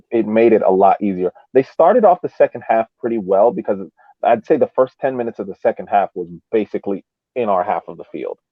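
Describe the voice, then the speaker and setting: Male podcast pundit, lowish voice, thoughtful stop-start casual analysis, tinny phone-quality audio. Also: no echo. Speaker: soccer podcast co-host. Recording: thin phone-like line.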